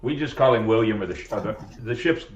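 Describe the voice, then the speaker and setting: A man's voice: on the low side, fast talker. A man hosting a podcast, talking casually in a rambling, self-correcting, jokey way. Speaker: podcast host. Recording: roomy, distant, dull lo-fi sound.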